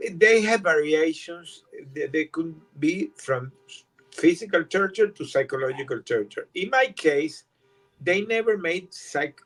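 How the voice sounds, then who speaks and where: An older Venezuelan man who grew up speaking Spanish, answering interview questions in English in a halting way, with many repeated words and restarts. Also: fairly high pitch, low-quality recording. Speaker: guest.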